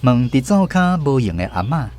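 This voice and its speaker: A man's voice: medium pitch, sing-song, normal speed, darkish tone. A man with a Taiwanese Hokkien accent reading scripted narration for a storybook radio drama. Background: guitar music.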